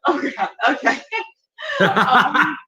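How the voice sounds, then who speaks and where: Bright, effusive woman, distant mic. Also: sits high, animated. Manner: effusive, quick to exclaim. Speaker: show host, interviewer. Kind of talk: casual chatty interview. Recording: distant mic, video call audio.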